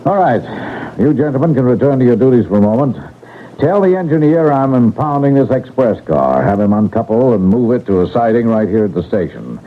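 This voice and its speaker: An actor playing a brisk, authoritative detective in a radio mystery drama, commanding and matter-of-fact. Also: male voice, mid-range voice, very animated. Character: brisk, authoritative male detective taking charge of a robbery case. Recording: muffled narrowband old radio broadcast, faint hiss.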